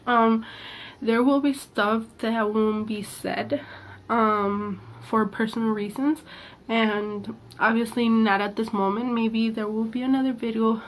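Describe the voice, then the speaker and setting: A young woman vlogging about her personal past, earnest and halting, with a slightly sick-sounding voice. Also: a little high, fairly even intonation, slight background noise. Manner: hesitant, halting, many fillers and restarts; candid and earnest. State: sick, voice a little off. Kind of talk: personal confessional vlog.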